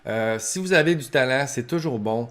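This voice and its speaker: A man's voice: medium pitch, lively intonation, moderate pace, fairly bright tone. A man with a Québécois French accent giving an informal instructional talk as a professor, explaining in colloquial, practical language. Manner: explanatory, colloquial, acts out short examples. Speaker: professor giving advice to family caregivers.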